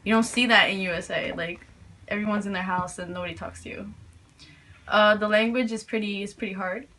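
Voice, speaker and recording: Teen girl from New York, interviewee, halting filler-heavy answers, faint noise behind. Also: mid-range voice, fairly even intonation, sharp tone. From New York, USA. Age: teens. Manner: hesitant, many fillers and restarts.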